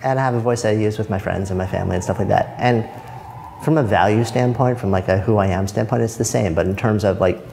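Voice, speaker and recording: A man's voice: on the low side, quick pace, creaky, a little mellow. A man hosting an interview, speaking thoughtfully and openly about his own struggles. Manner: thoughtful, open, many fillers. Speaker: podcast host. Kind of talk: reflective interview talk. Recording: long reverb with faint noise.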